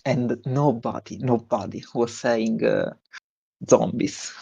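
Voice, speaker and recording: A young man with an Italian accent, a tournament winner giving a halting interview with many fillers and restarts. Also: mid-range voice, fairly even intonation, vocal fry, mellow, slight background noise. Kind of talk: post-win stream interview.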